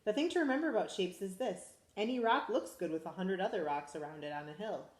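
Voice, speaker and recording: A school principal, a woman, reading a picture book aloud to children in a lively, expressive way. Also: medium pitch, fast talker, echoey room. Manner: animated, expressive storytelling to children.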